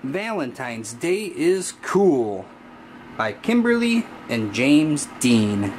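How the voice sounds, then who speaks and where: A narrator reading a children's picture book aloud in an expressive, deliberate way. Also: mid-range voice, background noise. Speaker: storybook narrator.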